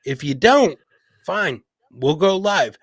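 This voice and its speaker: A man's voice: high-pitched, lively intonation, slow, no echo, clean studio sound. A man hosting a casual podcast, talking bluntly and swearing freely like he is among buddies. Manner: blunt, profane, buddy-like talk. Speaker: podcast host.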